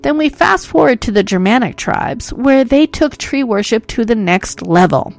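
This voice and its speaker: A woman's voice: a little high, expressive, moderate pace, creaky, faint music behind. A woman hosting a podcast who narrates history in a playful, self-mocking way full of jokes. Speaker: podcast host.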